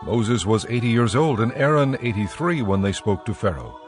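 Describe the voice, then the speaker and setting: A man narrating scripture from a script in a measured, formal storytelling manner. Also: lowish voice, very animated, soft background music.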